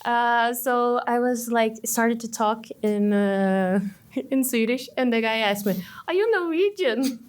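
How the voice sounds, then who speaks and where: A woman's voice: fairly high pitch, slow. A woman whose first language is Portuguese, a student of Swedish chatting and telling stories on a podcast. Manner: chatty, laughs easily, storytelling. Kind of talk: podcast conversation.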